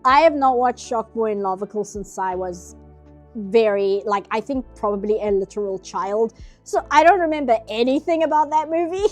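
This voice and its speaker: A woman's voice: a little high, moderate pace, faint music behind. A nasal-sounding woman streaming herself painting, chatting casually in rambling asides. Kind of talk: casual chat while drawing.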